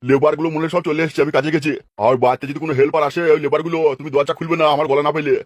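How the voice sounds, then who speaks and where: A man's voice: a little high, flat delivery, very fast, no echo, close to an everyday voice. A man playing a jealous, fretful mason husband, bossy and fussy, in a comic cartoon dub. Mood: bossy, worried.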